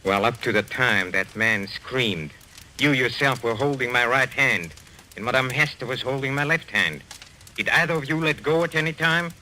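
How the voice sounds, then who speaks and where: A man's voice: medium pitch, normal speed, bright, forceful tone. A man acting in an old-time radio mystery as a courteous, faintly mocking medium, speaking. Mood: suave, mocking. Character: suave, theatrical Frenchman, a séance medium under suspicion. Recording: noisy old radio recording.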